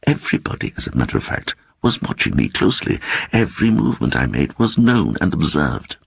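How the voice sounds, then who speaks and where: An actor voicing a timid little man who earnestly and softly recounts an eerie adventure in a dramatic audiobook reading. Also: a bass voice, fairly quick, gravelly, breathy edge, no room sound, full character voice. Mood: intense, uneasy. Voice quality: soft voice. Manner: hesitant, earnest, growing excited.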